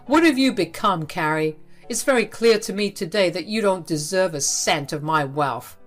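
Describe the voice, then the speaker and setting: A woman's voice: on the low side, expressive, moderate pace. Read by a woman, an elderly father scolds his spoiled daughter in a firm, stern tone. He sounds angry and unbending. Faint music sits beneath the voice.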